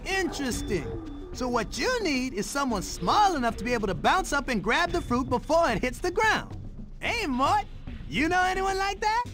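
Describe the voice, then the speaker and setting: An actor voicing a brash, sarcastic, impatient zebra hero in a video game, with a sassy, streetwise way of talking. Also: male voice, extremely high pitch, very animated, moderate pace, over music. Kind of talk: animated video game voice acting.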